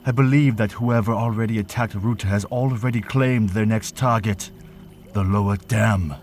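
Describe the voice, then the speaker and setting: A man's voice: on the low side, fairly even intonation, normal speed, darkish tone, slight background noise, natural voice. A man playing an anxious, courteous fish-folk prince in an audio drama, earnest and strained. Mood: grave, urgent.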